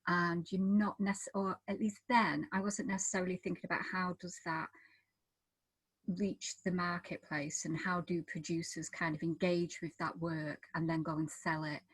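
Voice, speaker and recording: A woman chats informally as a panel guest. Her voice is on the low side and husky, recorded close and dry, with loose, casual phrasing. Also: little pitch movement, moderate pace, no room sound, rough recording.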